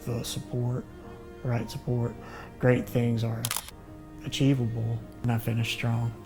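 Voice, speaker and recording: A man with a Southern US accent giving halting, measured interview answers. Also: medium pitch, completely flat delivery, music in the background. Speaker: interviewee, doctoral graduate.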